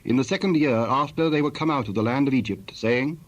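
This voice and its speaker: Formal male scripture narrator, mid-pitched. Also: lo-fi sound. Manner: formal, measured.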